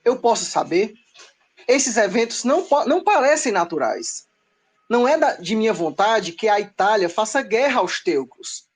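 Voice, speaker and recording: Woman acting an animated, demanding supreme god. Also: fairly high pitch, normal speed, husky. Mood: indignant. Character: indignant, authoritative supreme god questioning subjects. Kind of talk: comedic mock-epic audio drama acting.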